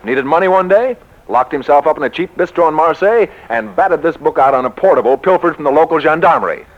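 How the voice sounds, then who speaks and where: An actor playing an excitable, bombastic American publishing executive in a 1940s radio comedy, with theatrical, grandiose emphasis. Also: male voice, on the high side, fast. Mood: boastful. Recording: muffled 1940s radio recording.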